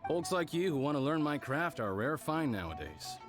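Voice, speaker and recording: A man's voice: on the high side, big pitch swings, a little fast, over music. An actor playing a kindly, patient lantern craftsman in a video game, explaining things with thoughtful hums. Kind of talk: animated video game voice acting.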